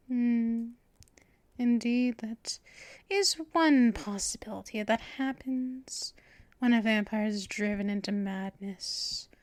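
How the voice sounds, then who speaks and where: A woman voicing a possessive, teasing female vampire mistress in an ASMR roleplay, with slow, breathy half-whispers and soft laughs, close to the microphone. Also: a little high, dry recording. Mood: menacing, teasing. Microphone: close microphone for headphone listening.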